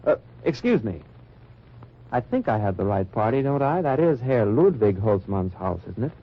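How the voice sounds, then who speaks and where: A wry undercover agent, low voice, dry narration, dull old radio sound. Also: male voice, very animated, moderate pace. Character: hard-bitten American undercover agent narrating. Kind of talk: 1940s radio acting.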